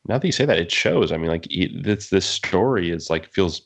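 Very deep, gravelly male podcast voice. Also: expressive. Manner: halting, earnest, repeats phrases. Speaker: podcast panelist. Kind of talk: casual review discussion.

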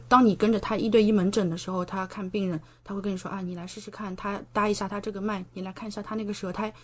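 A woman in her thirties from China chatting casually as an interview guest, telling stories vividly and often checking in with the listener. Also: mid-range voice. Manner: chatty, animated storyteller who re-enacts speech and checks in with the listener. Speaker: podcast guest, medical doctoral student.

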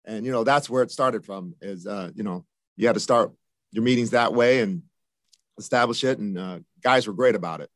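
Measured coach full of fillers. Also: male voice, lowish voice, no echo, clean studio sound. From Japan, raised in the United States from age seven. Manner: measured, heavy with "you know" and "uh" fillers. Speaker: football receivers coach. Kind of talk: answering interview questions.

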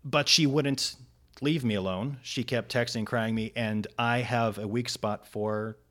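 A man in his thirties, a comedian guest on a podcast, telling a personal story in a casual, profane, animated way. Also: medium pitch, unhurried.

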